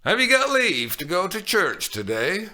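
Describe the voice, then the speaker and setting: A woman's voice: lowish voice, sing-song, sharp tone, voice pushed up, exaggerated voice. A man doing a groaning Lancashire old nurse. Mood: teasing complaint. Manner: moaning, drawn-out, theatrically complaining. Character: older woman acting as a teasing, groaning old nurse.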